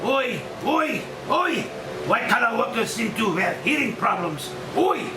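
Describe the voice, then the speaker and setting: A man's voice: extremely high pitch, big pitch swings, unhurried, full character voice. A man playing an insistent, exasperated technical expert in a monster-movie table read. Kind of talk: amateur table-read acting. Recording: echoey, distant mic, noisy room.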